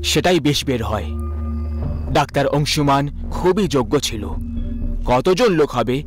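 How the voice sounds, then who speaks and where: A man's voice: mid-range voice, expressive, normal speed. A man reading scripted horror story narration as the storyteller. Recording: loud music under the voice.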